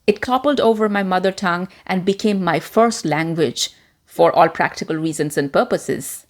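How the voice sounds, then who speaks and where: Woman from india w/ an american-tinged accent, former media presenter, steady clear delivery. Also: lowish voice, moderate pace. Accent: transitional, American-influenced. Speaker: host, self-help presenter. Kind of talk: scripted talk to camera.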